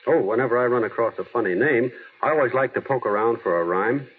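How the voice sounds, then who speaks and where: A man's voice: medium pitch, quick pace, slightly rough, close to an everyday voice. An actor playing a naive, earnest small-town man in an old-time radio drama, folksy and artless. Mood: mild, earnest. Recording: tinny, noisy vintage radio broadcast.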